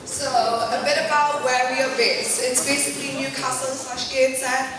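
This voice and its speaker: A woman's voice: sits high, level tone, normal speed. An eighteen-year-old woman giving a chatty, giggly student presentation, with many ums and restarts. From northeast England. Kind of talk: class presentation to a group. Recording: echoey hall from far off, crowd behind.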